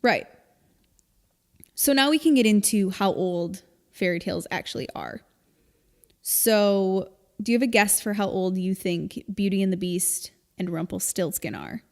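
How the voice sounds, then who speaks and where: Woman podcast host explaining stuff casually, creaky voice, lots of reverb on the recording. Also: medium pitch, measured pace, a little mellow. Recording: long reverb, hall-like room.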